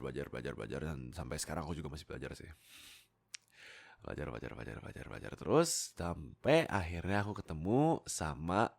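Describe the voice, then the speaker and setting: A young man with a low, crackly voice tells stories casually to his followers. His pitch moves around a lot as he talks. He is informal and self-deprecating, speaking close to the microphone. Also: vocal fry. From Indonesia. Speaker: amateur voice actor and content creator. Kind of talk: casual storytelling chat to followers. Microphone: close USB microphone.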